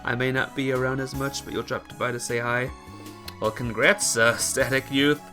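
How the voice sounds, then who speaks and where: A man live-streaming a visual novel, reading the text aloud and breaking off for casual, halting asides to his viewers. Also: mid-range voice, level tone, pushed and bright. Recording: music behind the voice.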